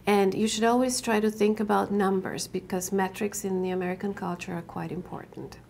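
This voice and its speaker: Gravelly-voiced woman giving career advice. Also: medium pitch, steady tone, darkish tone, a little hiss or hum. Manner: measured, instructive, with some filler hesitations. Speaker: career workshop instructor. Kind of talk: interview explaining resume advice.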